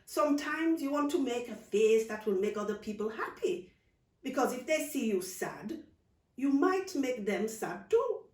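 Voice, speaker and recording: Woman acting a playful aunt, lively and swooping. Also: a little high, voice pushed up. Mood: gently probing. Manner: teasing questions, drawn-out emphatic phrasing, dialect grammar. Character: playful, nurturing aunt, big-hearted and teasing. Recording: roomy, distant microphone.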